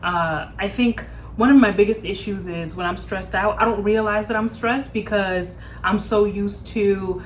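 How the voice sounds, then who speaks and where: A woman's voice: medium pitch, steady tone, measured pace, few pauses, a little hiss or hum. A woman from Zimbabwe with a Texan accent, speaking openly and earnestly as a guest in a casual chat. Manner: open, earnest, reflective. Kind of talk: casual conversation.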